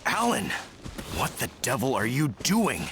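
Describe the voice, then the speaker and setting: A man voicing a gruff, fretful middle-aged villager with a scolding streak in a video game. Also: medium pitch, huge pitch swings, measured pace, noisy background, heavily acted. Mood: exasperated.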